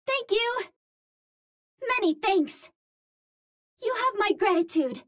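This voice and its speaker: A woman's voice: extremely high pitch, animated, slow, no echo, clean studio sound, heavily acted. A woman voicing a cute, earnest young Martian explorer in a video game, with a light girlish voice and polite, precisely worded phrasing. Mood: admiring, grateful. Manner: formal, precise wording without contractions, earnest and polite. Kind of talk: video game hero callouts.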